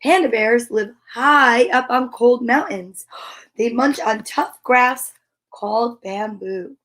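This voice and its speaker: A woman's voice: on the high side, slow pace, fairly bright tone. A woman hosting a live children's story time, reading aloud and chatting to viewers with playful, encouraging exclamations. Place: at home.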